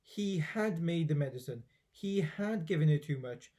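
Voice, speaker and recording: A man reading a children's story aloud in an expressive storybook narration style. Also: on the high side, normal speed.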